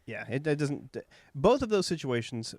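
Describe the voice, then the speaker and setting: A man in his thirties from Texas with a light Texas accent, hosting a relaxed, folksy interview and thinking out loud with fillers. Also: medium pitch, normal speed, no echo, clean studio sound. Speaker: podcast host. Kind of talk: casual interview chat.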